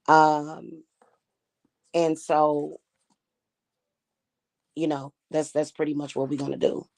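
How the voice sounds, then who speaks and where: A woman with a slightly hoarse voice, talking casually and defiantly to her viewers about her spiritual practice. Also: low-pitched, flat delivery, slow, a little mellow. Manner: chatty, defiant, addresses viewers as y'all. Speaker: spiritual reader and channel host.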